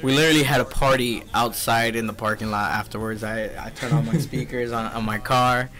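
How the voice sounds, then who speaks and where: A man's voice: medium pitch, steady tone, a little fast. A teenage man hosting a youth radio show, chatting casually with lots of fillers and laughter. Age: teens.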